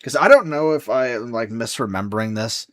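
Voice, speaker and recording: A man chatting casually on a live stream, rambling with many fillers and restarts. His voice is hoarse and sleepy. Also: medium pitch, lively intonation, a little fast, no echo.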